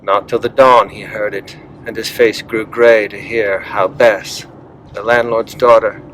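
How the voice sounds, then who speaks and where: A man's voice: lowish voice, little pitch movement, measured pace, a bit bright, a little hiss or hum, low-quality recording. A man giving an understated reading of a poem. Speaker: poem reader.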